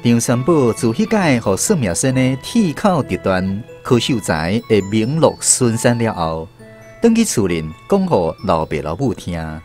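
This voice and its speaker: A man's voice: fairly low pitch, big pitch swings, measured pace, a little mellow, soft background music. A man narrating a radio drama in a scripted storytelling style.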